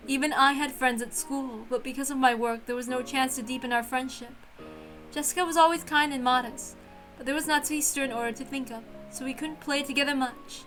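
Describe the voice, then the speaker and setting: A woman voicing an earnest, soft-spoken young servant recounting memories in a visual novel read-aloud, with music behind. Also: sits high, fairly even intonation, brisk, poor audio. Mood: wistful, gentle.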